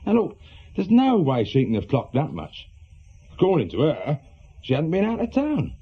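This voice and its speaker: Husky, animated English ex-crook. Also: male voice, a little high, normal speed, full character voice. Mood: uneasy, worried. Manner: hesitant, colloquial, defensive. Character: awkward middle-aged reformed small-time crook, worried husband. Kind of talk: British radio drama acting. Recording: muffled, lo-fi radio drama recording.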